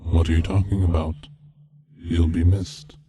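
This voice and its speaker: A man reading a scripted eerie story as narrator, in a measured, solemn, deadpan way. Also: sits low, husky. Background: background music from game soundtrack.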